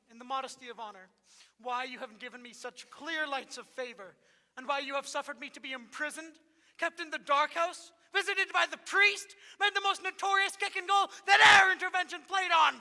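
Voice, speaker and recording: A man's voice: very high-pitched, brisk, bright, forceful tone, full character voice. Man acting a piercing, pompous steward. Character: pompous, aggrieved steward, humiliated and self-important. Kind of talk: Shakespearean stage acting. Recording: echoey hall, live stage.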